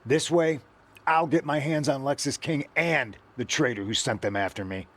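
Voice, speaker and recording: A man's voice: medium pitch, normal speed, a bit bright, natural voice. A man playing a vengeful wrestler in a video game cutscene, blunt and indignant with clipped replies. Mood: determined. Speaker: wrestler seeking revenge.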